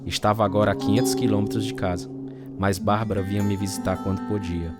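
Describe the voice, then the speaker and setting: A male actor plays a bitter, troubled drinker recounting his downfall in a low voice with a Brazilian accent. The delivery is grave and dramatic, in the style of a radio testimony drama. Prominent acoustic guitar music plays behind him. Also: level tone, quick pace, close to an everyday voice. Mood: grave, reflective. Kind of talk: dramatized first-person radio narration.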